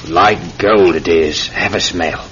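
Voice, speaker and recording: A genial shopkeeper with a sly, ingratiating manner, in a classic radio play. His voice is deep and his talk soft-soaps the customer. The old recording is dull and noisy. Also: slow pace. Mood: coaxing. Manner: ingratiating, coaxing. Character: genial, sly, coaxing male tobacconist. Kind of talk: old-time radio drama acting.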